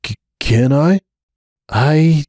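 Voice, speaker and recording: A man voicing an overwhelmed young male pony in a dramatic story reading, a stunned new father with a breathy, unsteady, halting voice. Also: a little high, a little mellow, dry recording, studio quality, pitched above the performer's own voice. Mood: awed, hesitant. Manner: halting, stammering.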